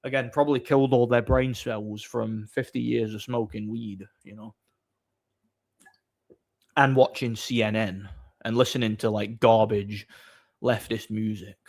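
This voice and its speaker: A man hosting a livestream, chatting casually and off the cuff in a blunt, opinionated, somewhat halting way. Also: fairly low pitch, fairly even intonation, darkish tone. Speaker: livestream host. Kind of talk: casual off-the-cuff chat, answering viewer comments.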